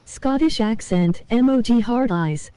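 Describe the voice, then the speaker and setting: A synthetic woman's voice that reads out viewers' chat messages in a flat way. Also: on the high side, measured pace, smooth. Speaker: text-to-speech chat reader.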